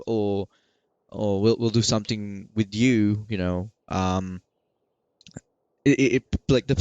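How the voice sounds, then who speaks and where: A man doing casual let's play commentary in a rambling, halting way, often repeating himself, close to the microphone. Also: on the low side, gravelly, dry recording. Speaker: gaming video creator.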